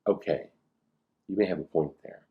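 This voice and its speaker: A man's voice: a bass voice, lively intonation, moderate pace, gravelly, phone-quality sound, voice pushed down, full character voice. A man reading the storybook dialogue of a grumbling, exasperated schoolboy spy who leads a team. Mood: reluctant, puzzled. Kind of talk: storybook read-aloud dialogue.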